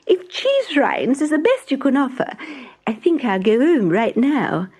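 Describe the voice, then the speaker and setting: A woman voicing a boastful, excitable female town mouse for a storybook, squeaky and showy, with condescending, drawn-out emphasis. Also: exaggerated voice. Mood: scornful.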